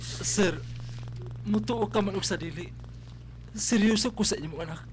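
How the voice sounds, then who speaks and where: A man's voice: high voice, moderate pace, lightly acted. A man with a Filipino accent acting an earnest, humble young suitor in a radio melodrama, respectful and pleading. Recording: noisy live stream of radio broadcast.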